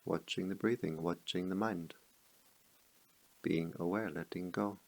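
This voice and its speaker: A man guiding a meditation as a teacher, speaking calmly in measured short phrases with long pauses. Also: sits low, vocal fry. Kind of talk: guided meditation.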